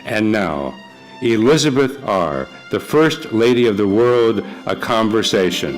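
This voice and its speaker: Male host, live broadcast announcer, lowish pitch, slight music behind. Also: lively intonation, measured pace. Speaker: host, producer of radio theater. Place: church before a live audience.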